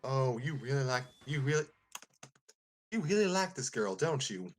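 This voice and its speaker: A man voicing an excitable, friendly cartoon turtle mail carrier in an animated, exclaiming manner. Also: medium pitch, moderate pace. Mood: encouraging. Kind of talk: fan script read-through, cartoon voice.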